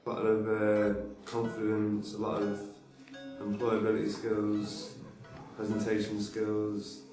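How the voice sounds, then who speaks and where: A man's voice: on the low side, completely flat delivery, slow pace, darkish tone, soft background music. A man in his twenties earnestly answering interview questions about volunteering. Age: 20s. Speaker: returned volunteer. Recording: echoey room from far off.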